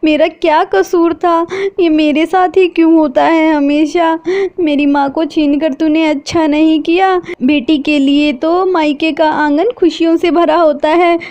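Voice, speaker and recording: A woman's voice: high voice, expressive, a little slow, a little mellow, no echo, voice pushed up. A woman voicing a mistreated young woman who pleads and speaks through tears. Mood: tearful. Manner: pleading, tearful. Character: mistreated gentle young woman, tearful daughter.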